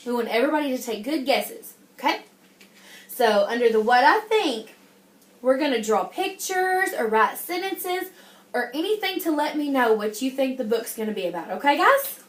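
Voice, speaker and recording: High-voiced woman teaching, echoey room. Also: big pitch swings, normal speed. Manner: encouraging, addresses the class as guys, frequent check-in questions. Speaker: teacher reading a picture book to young children. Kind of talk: interactive classroom read-aloud with questions and praise.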